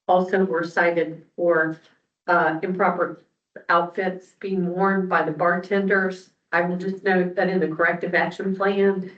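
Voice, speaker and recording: The sound is distant and roomy, from a public meeting. A woman commissioner speaks formally, slowly and with little change in pitch. Her delivery is halting and deliberate. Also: highs cut off.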